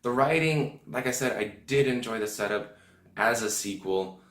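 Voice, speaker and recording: A man hosting a casual film review, talking straight to his viewers with a slightly hoarse voice while a bit under the weather. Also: fairly low pitch, normal speed, a bit bright. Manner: chatty, direct address to viewers. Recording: echoey room.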